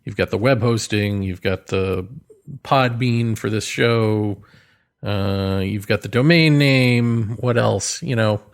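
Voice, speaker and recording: A man's voice: on the low side, takes it slowly, smooth. Rambling, wry male podcast host. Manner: rambling, hesitant, thinking aloud; self-deprecating, wry. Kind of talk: casual solo podcast monologue.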